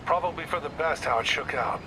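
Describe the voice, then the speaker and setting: Guy doing an older world-weary detective in a game, guarded, plain talking, voice on the high side, noisy city behind. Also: brisk, lightly acted. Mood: guarded, somber. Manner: matter-of-fact, colloquial. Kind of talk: video game voice acting.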